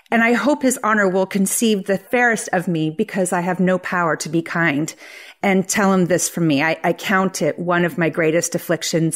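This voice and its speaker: A woman playing an effusive, flattering, two-faced Athenian lord in a theatrical Shakespeare play reading. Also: medium pitch, lightly acted. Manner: gushing, theatrical.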